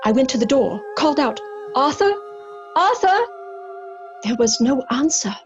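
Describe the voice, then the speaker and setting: A fragile young gentlewoman with a high voice. She is breathless and dramatic in gothic radio-play style, slightly muffled, with faint music behind. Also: sing-song, unhurried. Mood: fearful. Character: frightened, fragile young gentlewoman, lovelorn victim. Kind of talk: gothic horror audio drama.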